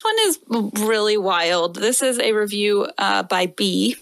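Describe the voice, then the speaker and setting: A woman's voice: mid-range voice, expressive, measured pace, fairly bright tone. A woman co-hosting a comedy podcast, mixing dramatic reading with casual banter. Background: music bed behind the reading.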